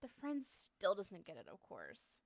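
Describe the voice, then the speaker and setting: A woman's voice: medium pitch, huge pitch swings, measured pace, gravelly, dry recording, heavily acted. A woman playing a lovestruck, obsessive young woman in an ASMR roleplay, talking to the listener in a coy, rambling, hesitant way. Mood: lovesick, exasperated.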